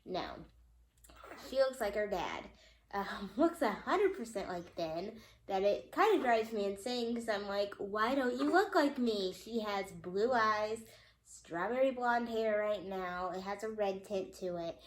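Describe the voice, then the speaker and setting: A woman's voice: medium pitch, lively intonation, unhurried. A young woman, a mother, giving a casual vlog update, a little congested and nasal, talking in a loose way full of ums and likes. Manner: chatty, lots of ums and likes. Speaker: vlogger. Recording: echoey room.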